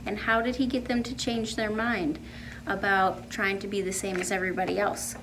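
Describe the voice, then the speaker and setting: A woman in her thirties leads a children's storytime. Her mid-range voice is measured and deliberate, recorded indoors with a little noise behind it. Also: mid-range voice, flat delivery. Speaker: storytime reader and art teacher. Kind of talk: picture book read-aloud for children. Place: indoor room with painted wall. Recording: faint room background noise.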